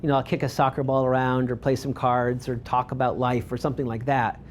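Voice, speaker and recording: A man's voice: medium pitch, level tone, a little fast, a little hiss or hum. Rambling man presenting with many ums. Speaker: photographer giving a talk. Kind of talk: presentation with audience questions.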